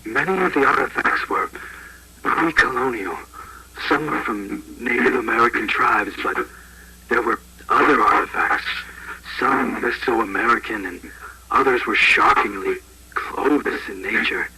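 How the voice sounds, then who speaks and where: A man plays a grave archaeologist dictating a field log for a found-tape horror. His voice is mid-pitched, formal and measured, with pauses between phrases. Loud noise covers the old recording. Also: vocal fry. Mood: grave, awed. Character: sober archaeologist recording an uneasy log of discoveries.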